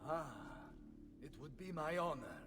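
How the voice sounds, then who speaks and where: A gravelly, booming mercenary captain, theatrical and fierce. His pitch leaps wildly and he takes his time over his words. Steady game ambience sits underneath. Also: unhurried, long reverb tail, noisy background. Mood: hearty. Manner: bellowing, swaggering, theatrical. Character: boisterous, fierce male mercenary leader, middle-aged.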